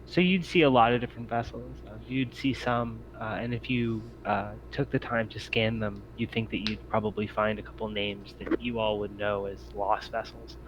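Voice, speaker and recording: A man narrating a tabletop roleplaying game as game master, haltingly, thinking aloud as he goes. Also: fairly low pitch, fairly even intonation, highs cut off, noisy background.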